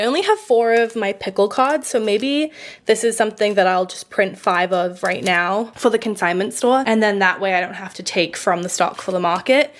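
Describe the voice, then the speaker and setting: Casting: young woman vlogger, upbeat and chatty, a touch croaky, medium pitch. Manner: chatty, filler-heavy, upbeat. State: slightly croaky, losing her voice. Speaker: small art business owner vlogging. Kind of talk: casual vlog narration.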